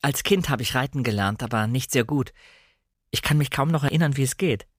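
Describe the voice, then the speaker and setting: A man's voice: medium pitch, a little fast, no echo, studio quality, voice pushed up, lightly acted. A man voicing a timid, polite young wife who is eager to please, in a dramatic novel reading. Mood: apologetic.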